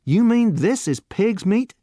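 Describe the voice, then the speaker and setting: Man voicing a naive teenage boy, excitable, exclaiming, raised pitch, lively, storybook. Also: measured pace, no room sound, studio quality. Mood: puzzled. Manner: excited, wide-eyed, exclaiming. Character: naive, eager seventeen-year-old boy.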